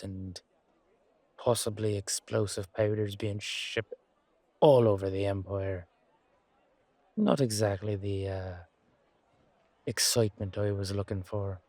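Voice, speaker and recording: A man playing a weary, down-to-earth knight guardsman in a fantasy audio drama, musing colloquially to his partner with hesitant pauses. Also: lowish voice, darkish tone, no room sound. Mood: grumbling, wry. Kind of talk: roleplay audio drama monologue addressed to a partner.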